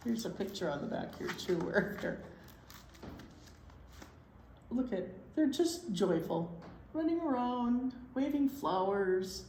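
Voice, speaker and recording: Animated, unhurried woman reading a storybook aloud. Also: mid-range voice. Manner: playful, exclaiming, commenting on the pictures. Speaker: children's librarian, storytime reader. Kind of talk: animated picture-book read-aloud with chatty asides. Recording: roomy echo with some background noise.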